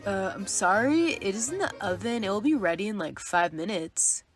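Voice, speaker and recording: Woman playing apologetic storybook shopkeepers, pitch swooping. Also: lively intonation, normal speed, no room sound, faint music behind, natural voice. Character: apologetic shopkeepers asking the customer to wait.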